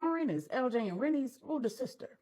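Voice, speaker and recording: A woman's voice: high-pitched, sing-song, a little fast, dark tone, dry recording. A woman storyteller reading a scripted crime drama story as narrator.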